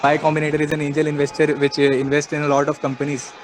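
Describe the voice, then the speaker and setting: A man's voice: monotone, racing. A young man with an Indian accent talking conversationally in a casual group discussion. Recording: noisy room, traffic rumble.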